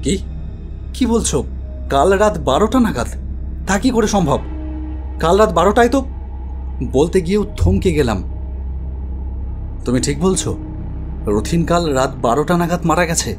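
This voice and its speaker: An actor playing an anxious idol-maker who recounts a horror story in a dramatic, expressive first-person narration. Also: male voice, a little high, unhurried, pauses often. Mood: shocked. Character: anxious male idol-maker recounting his ghostly encounter. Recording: studio voice over music bed.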